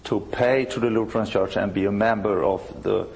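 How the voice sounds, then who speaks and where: A man in his sixties from Sweden with a Swedish accent, a church musician telling of his conversion in halting English, searching for words. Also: lowish voice, steady tone, vocal fry, darkish tone. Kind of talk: interview answers.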